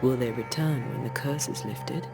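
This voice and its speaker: A man's voice: mid-range voice, moderate pace, gravelly, over music, close to an everyday voice. A man playing a blunt, weary, wry ghost hunter in a video game, with terse, dry delivery. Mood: guarded. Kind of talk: dramatic video game cutscene acting.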